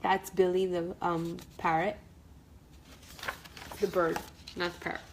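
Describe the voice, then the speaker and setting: A woman's voice: on the low side, level tone, slow pace, slight background noise, low-quality recording. A woman storyteller reading a picture-book story aloud for children.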